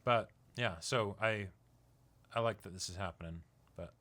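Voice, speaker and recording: A man's voice: on the low side, normal speed, bright, forceful tone. A man in a casual discussion as a co-host, talkative and explaining his points as he goes. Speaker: co-host of an anime reaction discussion.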